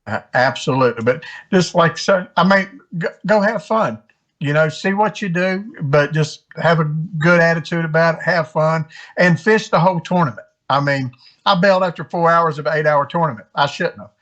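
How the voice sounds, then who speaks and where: An American man from North Carolina speaks as a podcast guest. His pitch is a bit higher than average for a man. The delivery is casual, conversational interview chat. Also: moderate pace, fairly bright tone. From North Carolina, United States. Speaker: podcast guest, tournament angler.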